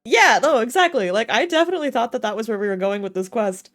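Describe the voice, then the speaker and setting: A woman's voice: a little high, lively intonation, fast, fairly bright tone. A woman livestreaming a game with casual, slangy, self-deprecating commentary and jokes. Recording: game music playing behind voice.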